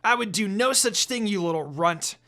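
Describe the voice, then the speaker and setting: Brash, insulting young half-demon for a storybook reading, man performing, snappy and scornful, swinging pitch, music behind. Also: lively intonation, normal speed, pushed and bright, heavily acted. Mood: snarling. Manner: snapping, scoffing, snarling.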